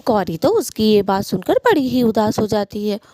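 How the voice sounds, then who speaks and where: Mellow female cartoon storyteller. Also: medium pitch, sing-song, moderate pace, no room sound, studio quality. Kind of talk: scripted cartoon story narration.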